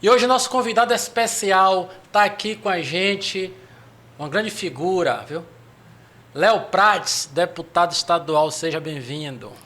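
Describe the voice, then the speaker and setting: A man hosts a casual podcast in a slightly higher voice. His delivery is friendly and upbeat, like an announcer warming up a chat. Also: measured pace. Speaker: podcast host. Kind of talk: casual podcast hosting, reads sponsor spots.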